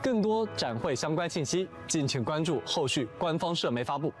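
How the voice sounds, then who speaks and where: Male announcer, polished scripted delivery, quick pace, clear music bed. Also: on the high side, animated. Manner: polished upbeat presenting. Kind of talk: scripted promotional broadcast.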